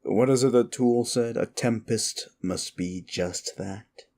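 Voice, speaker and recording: A man playing a wicked, contemptuous immortal demon in an ASMR roleplay, speaking in a theatrical, brooding way with a gravelly voice and deliberate pauses. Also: dark tone. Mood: cold, contemptuous. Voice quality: low, gravelly. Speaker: audio roleplay performer. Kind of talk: ASMR roleplay monologue, addressed to the listener.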